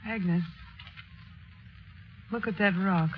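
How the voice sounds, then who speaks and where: A woman playing an exhausted, gently reassuring mother in a 1930s radio drama, speaking in a subdued, soothing way. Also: medium pitch, extremely slow. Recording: noisy, muffled old radio broadcast.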